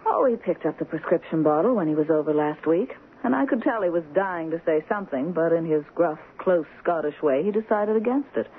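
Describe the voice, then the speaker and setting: An actress playing an affectionate, dreamy and slightly evasive American wife in a radio drama. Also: female voice, lowish voice, expressive, brisk, few pauses, natural voice. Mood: probing. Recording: muffled, noisy 1970s radio broadcast.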